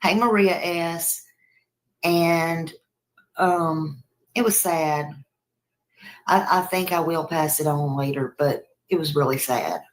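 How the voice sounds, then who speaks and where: A woman's voice: sits low, slow, pauses often, poor audio. A woman livestreamer chatting casually with viewers, rambling and repeating herself.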